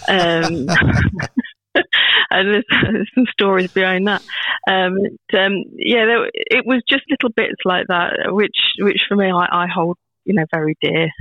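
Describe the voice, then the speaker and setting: A woman in her fifties, a proud grandmother, chatting as a podcast guest in a warm, rambling way with many restarts. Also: medium pitch, a little slow, no echo. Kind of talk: casual chat. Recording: tinny phone-like line, dry.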